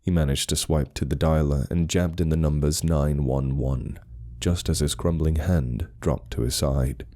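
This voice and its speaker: Male narrator for scripted horror: extremely low pitch, dark mellow tone, dramatic delivery. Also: fairly even intonation, normal speed.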